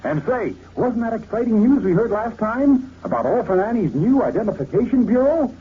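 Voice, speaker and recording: A man announcing a serial in an excitable vintage radio style. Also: sits high, normal speed, breathy edge. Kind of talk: 1930s-40s radio announcing. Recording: tinny, hissy vintage radio recording.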